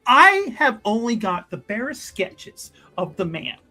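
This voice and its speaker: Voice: mid-range voice, very animated, unhurried, bright, forceful tone, soft background music, heavily acted. A player voicing a shrewd church investigator in tabletop roleplay, speaking formally and wordily with hesitant, politely needling phrasing. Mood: pointed, dry. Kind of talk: improvised in-character tabletop roleplay.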